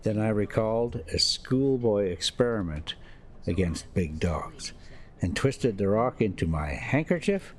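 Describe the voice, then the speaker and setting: Slow, low-voiced man reading aloud. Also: animated. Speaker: host reading a classic story aloud. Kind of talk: audiobook-style story reading with casual asides.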